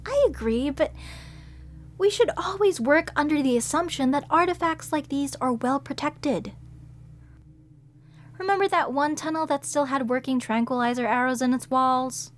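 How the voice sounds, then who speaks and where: A woman voicing a sweet, excitable, bookish museum curator in an audio roleplay. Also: sits high, normal speed, a little hiss or hum, lightly acted. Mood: explaining. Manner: eager, explaining, gushing with excitement. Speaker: voice actor.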